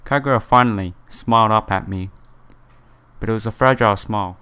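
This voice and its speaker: A man's voice: fairly low pitch, brisk, pushed and bright, clear, resonant tone. A man doing a casual visual novel playthrough, reading the story aloud and reacting in an informal, chatty way. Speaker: let's play YouTuber. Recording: music behind the voice. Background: game music.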